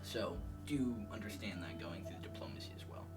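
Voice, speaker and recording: A man's voice: deep voice, lively intonation, brisk. A man talking casually as he organizes a group gaming discussion and explains the options. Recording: distant mic, music behind.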